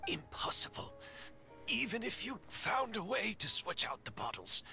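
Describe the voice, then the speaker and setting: An actor voicing a bitter, scheming middle-aged male perfumer in a video game, measured and sardonic, over game music. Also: mid-range voice, vocal fry, sharp tone, full character voice. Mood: cold. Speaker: villain.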